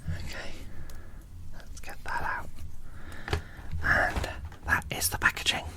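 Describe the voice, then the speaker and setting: A woman whispering in a breathy, hushed, unhurried way, as if hosting an ASMR unboxing and describing small details. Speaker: host of a doll unboxing video.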